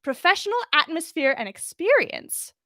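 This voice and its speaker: A woman's voice: extremely high pitch, sing-song, normal speed, fairly bright tone, dry recording, clean studio sound, voice pushed up, exaggerated voice. A woman voicing a prim, haughty older witch who scolds in over-enunciated, sickly sweet, condescending formal speech for a story.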